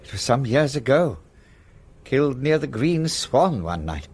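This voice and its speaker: An actor playing an older, old-fashioned English solicitor in a radio play, speaking in a formal, guarded way with an English accent. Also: male voice, mid-range voice, big pitch swings, measured pace, a little mellow, breathy edge, full character voice. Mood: reminiscing.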